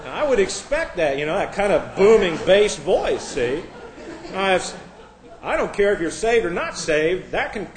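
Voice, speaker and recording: A man's voice: high voice, noisy background. Echoey preacher, a man from Indiana. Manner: conversational preaching with asides and self-deprecating jokes; reads scripture aloud in a raised, formal voice. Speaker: pastor. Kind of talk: sermon. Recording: echoey room.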